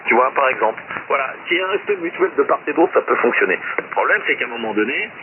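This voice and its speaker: A man's voice: mid-range voice, lively intonation, quick pace. A man talking casually and with opinions over amateur shortwave radio, in a rambling, colloquial way. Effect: shortwave ham radio transmission. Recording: noisy narrow-band shortwave radio link.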